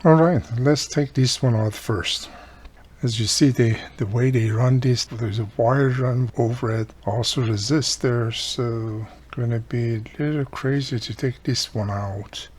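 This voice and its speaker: A man's voice: mid-range voice, measured pace, a little mellow, husky. Older man chatting through a radio repair. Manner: chatty, thinking aloud while working. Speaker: electronics repair hobbyist. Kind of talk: casual repair tutorial. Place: home workshop room.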